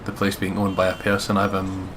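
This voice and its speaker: A man's voice: low voice, completely flat delivery, brisk, noisy background. A man reading visual novel narration aloud as a let's play co-reader, breaking into casual asides.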